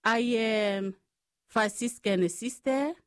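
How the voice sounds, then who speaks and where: A woman from the Democratic Republic of the Congo with a Congolese accent, telling personal stories as an interview guest in short, halting phrases of non-native English. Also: a little high, dry recording, clean studio sound. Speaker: guest, Franciscan sister.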